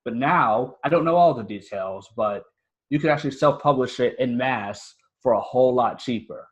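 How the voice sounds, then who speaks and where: Male podcast guest, American accent, mid-pitched voice, informal and earnest. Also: normal speed, dull audio. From United States. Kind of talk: casual interview talk.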